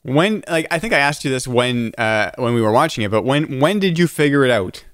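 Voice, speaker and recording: Smooth-voiced man, casual podcast co-host. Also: mid-range voice, animated, brisk, fairly bright tone, no room sound, studio quality. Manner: reads notes aloud, stumbles and restarts. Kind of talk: casual chat reviewing a TV episode.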